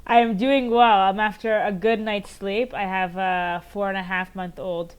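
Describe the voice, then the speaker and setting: A woman's voice: medium pitch, fairly even intonation, clear, resonant tone, rough recording. Earnest woman guest telling her story. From United States, Maryland. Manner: earnest storytelling, many "um" pauses. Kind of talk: conversational interview answers. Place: home in Jerusalem, on a remote call.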